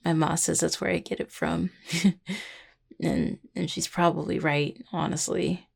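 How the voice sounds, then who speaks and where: A woman playing a grieving, tender young necromancer in an intimate audio roleplay, speaking haltingly and apologetically to a partner. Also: deep voice, steady tone, gravelly, breathy edge, heavily acted. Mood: grieving, wistful.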